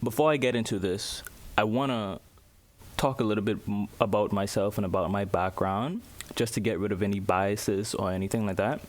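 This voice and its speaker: A man from the Bahamas, giving earnest casual commentary as a vlogger and pausing to search for his words. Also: fairly low pitch. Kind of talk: casual spoken commentary to camera.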